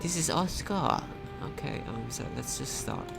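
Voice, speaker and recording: A gamer giving casual let's play commentary, reacting informally in short remarks with a creaky voice. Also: mid-range voice, very animated, a little slow. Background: game music.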